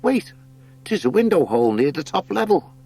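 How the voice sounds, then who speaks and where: An ancient hare from an animal storybook, put on by a man. The voice is raised high and swoops about, quavering, polite and old-fashioned. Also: big pitch swings, normal speed, mellow, breathy edge, exaggerated voice. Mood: fascinated. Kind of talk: audiobook character acting.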